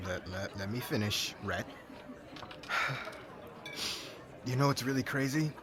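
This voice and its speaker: A man playing a brash, lovelorn young warrior groom in an ASMR audio drama, halting and informal, sounding exasperated. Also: mid-range voice, a crowd in the background, lightly acted. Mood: tipsy, bitter.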